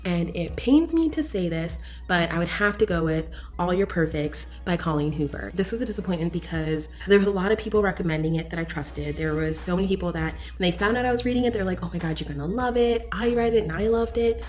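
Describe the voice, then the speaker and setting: Female book vlogger, slightly low voice, candid and enthusiastic casual delivery, music underneath. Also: lively intonation, fast talker, hardly any pauses, darkish tone. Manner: gushing, candid, emphatic. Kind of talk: casual chatty book review to camera.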